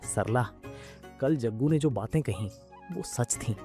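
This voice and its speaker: A man's voice: mid-range voice, expressive, unhurried, mellow, faint music behind, close to an everyday voice. A man playing a humble, hardworking street food seller in a cartoon, polite, earnest and hopeful. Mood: earnest, determined.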